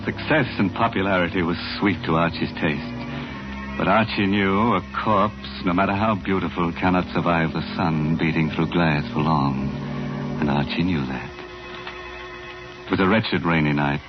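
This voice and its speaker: An actor narrating an old-time radio thriller as an ominous, sardonic storyteller of the macabre. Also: male voice, low-pitched, moderate pace, natural voice. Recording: muffled vintage radio recording. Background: dramatic music.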